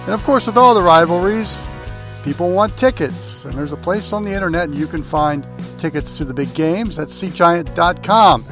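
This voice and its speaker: A middle-aged man hosting a sports podcast in a deliberate, announcer-like way. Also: high-pitched, expressive, music in the background. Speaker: podcast host. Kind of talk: hosted sports interview and read segues.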